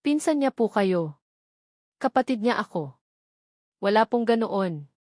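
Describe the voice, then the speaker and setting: A woman voicing a timid young housemaid who answers softly and politely. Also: medium pitch, very animated, measured pace, no room sound, studio quality. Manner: soft, polite, trembling.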